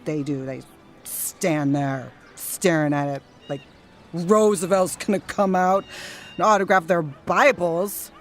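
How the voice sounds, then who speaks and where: An actress playing a drunk, defiant, sardonic 1930s wife in a period radio drama, rambling between mutters and outbursts. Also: female voice, medium pitch, a bit bright. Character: Ernie Pyle's drunk, ranting, defiant, snobby 1930s wife.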